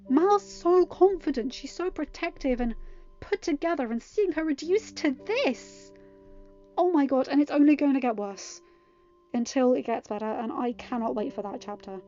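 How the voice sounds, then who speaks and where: Higher-voiced woman reading a story. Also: moderate pace, mellow, muffled recording. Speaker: podfic narrator. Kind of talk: expressive story narration with chatty asides. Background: music.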